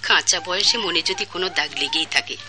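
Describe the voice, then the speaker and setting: A woman's voice: fairly low pitch, brisk, natural voice. An actress playing a shy, practical elderly wife who scolds her husband bashfully, in a radio drama. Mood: chiding. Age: older.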